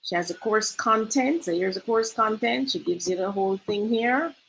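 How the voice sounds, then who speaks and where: A woman's voice: medium pitch, moderate pace, creaky, slight background noise, poor audio. A Jamaican woman hosting a casual screen-share tutorial, chatty and loose, often asking "right?". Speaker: host.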